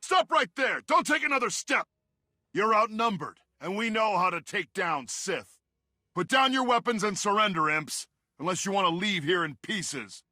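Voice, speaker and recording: An aggressive, threatening soldier from a video game. His voice is very sharp and high for a man, barking with animated swings. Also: moderate pace, no echo, clean studio sound, full character voice. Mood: hostile, threatening. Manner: forceful, barking.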